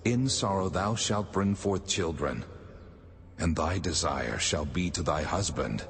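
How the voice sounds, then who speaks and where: An actor playing a stern, commanding God, solemn and authoritative, in a dramatized audio Bible with long reverb. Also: male voice, sits low, lively intonation, measured pace, breathy edge, exaggerated voice. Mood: stern, judging. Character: the Lord God, male, stern commanding judge. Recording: long hall reverb with music.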